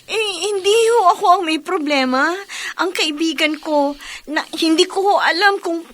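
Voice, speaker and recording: A woman's voice: very high-pitched, moderate pace, fairly bright tone. An actress playing a worried woman in a radio drama, hesitant and pleading as she seeks help.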